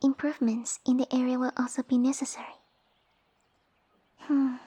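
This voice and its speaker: A woman playing a sassy, tiny snake-girl noble with pompous, formal, commanding speech and a soft breathy voice, in an ASMR roleplay. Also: high-pitched, flat delivery, moderate pace. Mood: bossy, exacting. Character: haughty, sassy young lamia noblewoman, tiny monster girl. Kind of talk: ASMR roleplay audio drama.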